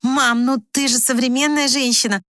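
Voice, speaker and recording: A woman's voice: high voice, steady tone, moderate pace, a bit bright, dry recording, clean studio sound, pitched above the performer's own voice. A woman voicing an exasperated adult daughter in an audiobook, pleading and reasoning with a difficult mother. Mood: coaxing. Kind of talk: dramatised audiobook reading.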